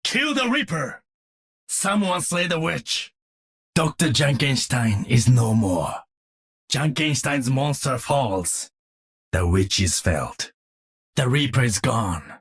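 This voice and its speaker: A man playing a solemn, vigilant warrior defending a gate in a video game, with a husky voice and a formal, commanding, dramatic delivery. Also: mid-range voice, no room sound, studio quality, full character voice. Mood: solemn, grave.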